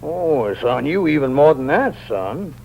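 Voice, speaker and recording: Figure: shrewd sheriff. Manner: drawling, wry. Pitch: lively. Pace: slow. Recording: dull, hissy old radio. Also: male voice. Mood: knowing. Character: shrewd male sheriff, calm and knowing. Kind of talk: 1950s radio drama acting.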